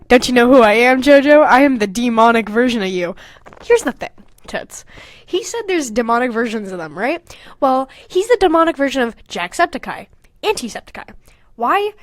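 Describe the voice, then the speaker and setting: A woman podcast host reading her own fanfiction aloud, breaking off into candid, self-mocking, casual asides with plenty of swearing. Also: sits high.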